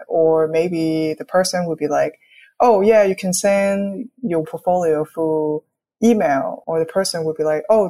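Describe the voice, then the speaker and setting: Low-pitched young woman from Hong Kong. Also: mellow. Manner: explanatory, often checks with "right?". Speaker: artist, interview guest. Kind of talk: conversational interview, reflective.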